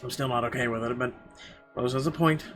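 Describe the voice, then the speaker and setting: A man reading visual novel narration aloud, with a halting, stumbling delivery. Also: mid-range voice, steady tone, a bit bright, faint music behind. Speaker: gameplay video host.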